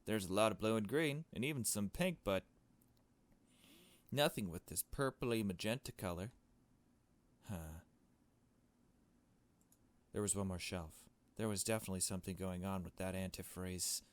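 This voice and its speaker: A man's voice: low-pitched, a bit bright. A man reading a visual novel game aloud and commenting casually, with wry, joking asides. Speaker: gaming video host.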